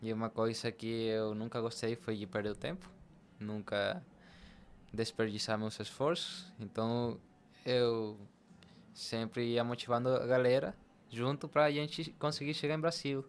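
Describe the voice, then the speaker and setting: A man's voice: medium pitch, flat delivery, unhurried, a little hiss or hum. A young man from Latin America, telling his personal story conversationally as a podcast guest.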